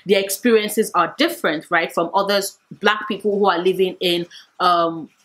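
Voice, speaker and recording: A woman's voice: lowish voice, moderate pace, a bit bright. A talkative, playful woman in her late twenties chatting to camera about books. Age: 20s. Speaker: book vlogger. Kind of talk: casual chat to camera.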